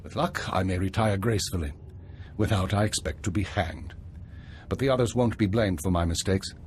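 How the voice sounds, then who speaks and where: A man playing a weary, principled older intelligence minister in a video game, speaking in a measured, formal way. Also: low-pitched, slight background noise, lightly acted. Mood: grave, candid. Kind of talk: scripted video game dialogue.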